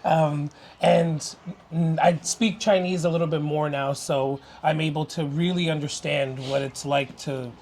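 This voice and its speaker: A man's voice: on the high side, fairly even intonation, a little slow, a little mellow, slight background noise. A young man from Canada, an interview guest talking casually and openly, laughing easily. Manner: open and chatty, laughs easily. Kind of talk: casual chat.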